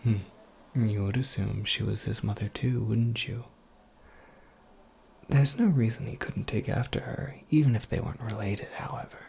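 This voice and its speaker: A performer voicing an eccentric, absent-minded witch in a breathy, creaky ASMR roleplay, rambling with wry, cryptic politeness. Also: medium pitch, normal speed, dark tone, slight background noise, close to an everyday voice. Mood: musing, cryptic. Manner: rambling, whimsical, politely formal, self-correcting. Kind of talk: soft-spoken ASMR roleplay monologue.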